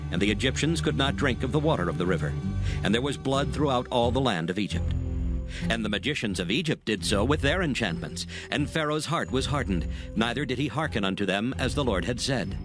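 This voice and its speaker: A man narrating scripture in a measured, steady reading. His voice sits at an ordinary mid pitch, with loud music under the narration.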